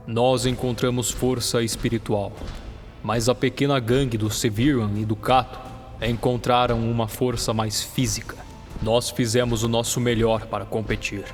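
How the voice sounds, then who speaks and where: A Brazilian man narrates a scripted audio drama in the first person. His pitch sits in the middle of the male range, and music plays underneath his storytelling.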